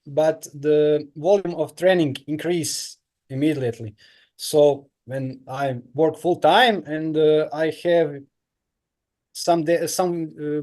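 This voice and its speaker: Croatian guy in his 30s, kinda high voice, halting english with lots of uhs. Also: darkish tone. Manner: halting non-native English with many fillers. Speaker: guest, professional triathlete. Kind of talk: casual interview answers.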